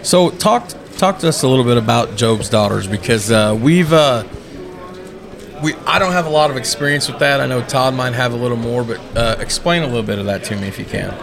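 A man's voice: mid-range voice, a little fast, creaky. Man, thirties, podcast co-host, rambling friendly chat, busy convention crowd behind. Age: 30s. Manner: friendly, rambling, praising guests. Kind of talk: casual interview chat. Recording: crowded event hall. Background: crowd chatter.

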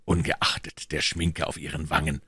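An assured, deferential gentleman informant in an audiobook, voiced by a male narrator. His voice is very low. He reports in a measured, precise way, with a close, dry sound. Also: flat delivery, slightly rough, no echo, heavily acted. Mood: measured, reporting. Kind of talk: audiobook character dialogue.

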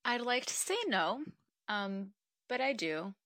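A woman's voice: mid-range voice, expressive, takes it slowly, a bit bright, no echo, clean studio sound. A woman in her twenties from Mexico, a podcast guest talking earnestly and reflectively, with frequent ums. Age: 20s. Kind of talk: casual interview conversation.

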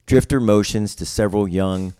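A man with a low, mellow voice reads out stage directions from a comedy script. His delivery is casual, and the recording is dry and close. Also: moderate pace, clear, resonant tone, no room sound. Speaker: comedy writer reading own script.

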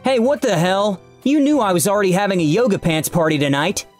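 A man voicing a bitter, scornful male office supervisor who shouts indignantly in a narrated story. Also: sits high, extremely slow, soft background music, voice pushed up.

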